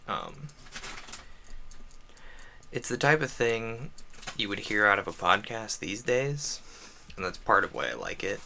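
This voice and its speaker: A man chatting casually and haltingly on a solo game livestream, rambling with self-deprecating asides. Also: fairly low pitch, vocal fry, bright, forceful tone, a little hiss or hum.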